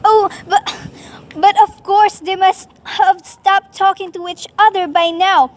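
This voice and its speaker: A woman's voice: very high-pitched, fairly even intonation, takes it slowly, a little hiss or hum. A woman playing an agitated, self-important married lady in a radio play, imperious, flustered and prone to stammering.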